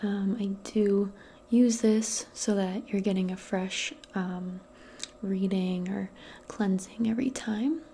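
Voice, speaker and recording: Female, slow soft-spoken ASMR role play, breathy half-whisper, gentle casual personal attention, audible background hiss. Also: mid-range voice, steady tone. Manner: gentle, casual, hesitant chatter aimed closely at the listener. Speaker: ASMR performer role-playing as a modern witch.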